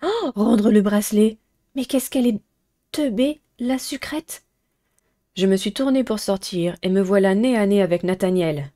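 A young adult woman with a soft-toned, mellow voice at medium pitch. She hosts a visual novel playthrough, switching between reading aloud and chatty commentary. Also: moderate pace. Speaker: gaming video host.

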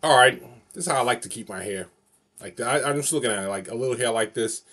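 A man's voice: mid-range voice, fast talker, fairly bright tone. New guy in his 40s vlogging in his bedroom, repeats himself a lot, webcam mic. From New York City. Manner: repetitive, rambling, loops over the same phrases. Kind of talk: casual solo vlog to camera. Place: small bedroom, indoors.

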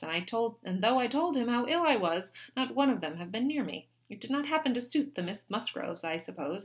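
A woman reading a novel aloud, voicing a petulant, self-pitying young married woman who complains loudly. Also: medium pitch, close to an everyday voice. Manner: whining, complaining, loud.

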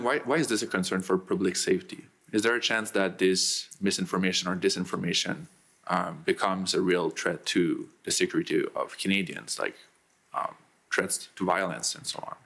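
A young man's voice, very low and gravelly, puts questions to a witness at a formal hearing. He is polite and pauses on fillers between his questions. He speaks at a lectern microphone. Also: bright, forceful tone. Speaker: lawyer cross-examining a witness. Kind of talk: formal cross-examination.

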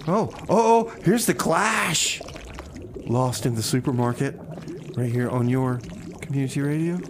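A man's voice: medium pitch, lively intonation, a little slow. A man casually hosting community radio with comedic banter, often repeating his phrases.

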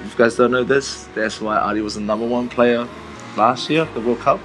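A man with a New Zealand accent talks in a mid-pitched voice. His delivery is casual, slangy and playful, like a barber joking with mates. Music plays faintly behind him. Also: fairly even intonation, a little fast, a bit bright, rough recording. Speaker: barber being interviewed. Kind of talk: casual jokey chat. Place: indoors in a small convenience store.

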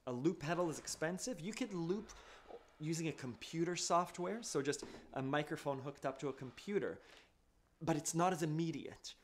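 A man in his thirties, an accordion teacher, explaining things step by step in a relaxed live-stream lesson from a small home music room. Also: on the high side, normal speed, slight background noise. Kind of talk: casual live-stream demonstration. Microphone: studio mic on boom arm, wearing headphones. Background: accordion and looped music.

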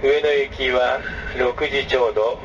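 Male conductor, formal PA announcements, dull distant speaker sound over loud train rumble. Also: steady tone. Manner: formal, measured, with frequent "e" fillers. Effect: public address speaker. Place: moving overnight sleeper train. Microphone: train public address system, recorded from a passenger seat. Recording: distant, dull, noisy 2006 recording of train PA. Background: train running noise.

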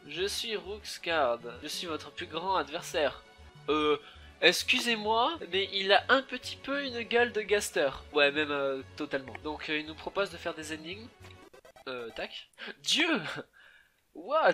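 A young man giving halting let's play commentary, often repeating himself as he goes. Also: fairly high pitch, bright, forceful tone. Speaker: gaming YouTuber. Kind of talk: let's play commentary with dialogue read aloud. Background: game music.

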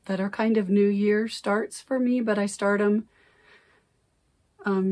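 A middle-aged woman talks chattily at her desk camera in a home craft room, often circling back to correct herself. Her mid-range voice carries a gravelly fry, and it is still recovering after she lost it. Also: mid-range voice, a little mellow. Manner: rambling, self-correcting, chatty. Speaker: cross-stitch vlogger. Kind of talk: casual chat. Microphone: camera at desk distance.

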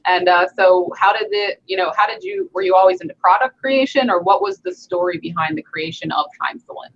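A woman's voice: fairly low pitch, a little fast, highs cut off, slight background noise. A young woman hosting an interview from her home gym over a video call, chatting casually with many "you know"s. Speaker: host.

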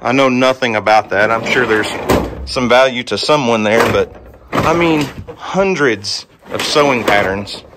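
This is a man in his thirties with an average-pitched voice. He talks to viewers casually as he walks around, rambling in a folksy, homespun way. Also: unhurried, heavy background noise. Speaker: homestead vlogger, host. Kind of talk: casual walkthrough vlog, talking to viewers. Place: outdoors in a yard, then inside a cluttered empty house. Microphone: handheld camera at arm's length.

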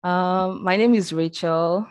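A woman in her thirties from Benin, hoarse from a cough, introducing herself as project manager on a video call. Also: lowish voice, steady tone, takes it slowly, a little mellow, no echo. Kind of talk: casual self-introduction. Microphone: headset on video call.

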